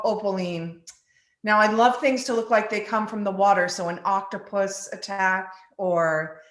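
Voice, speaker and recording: A woman from the Bahamas and Virgin Islands, a glass artist giving a casual guided tour in an informal, halting way with pauses between phrases. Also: mid-range voice, fairly bright tone. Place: living room of a home studio. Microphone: phone on a selfie stick. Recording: echoey room, live video stream.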